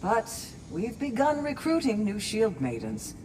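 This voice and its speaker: A wry, animated warrior maiden, distant. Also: female voice, mid-range voice, a little slow. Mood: businesslike, wry. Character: brisk, good-humoured female warrior, commander of shield maidens. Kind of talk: video game character acting. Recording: distant voice over noisy game ambience.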